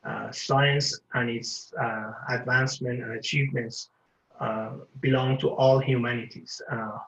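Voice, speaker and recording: An older man from Iran giving an award acceptance speech, halting and full of filler pauses. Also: medium pitch, steady tone, creaky, a bit bright, echoey room, low-quality recording. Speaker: award recipient.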